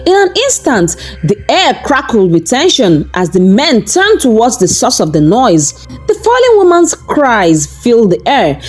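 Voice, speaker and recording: A woman's voice: fairly high pitch, a little mellow, poor audio. A woman narrating a scripted folktale in a dramatic, suspense-building storyteller's delivery.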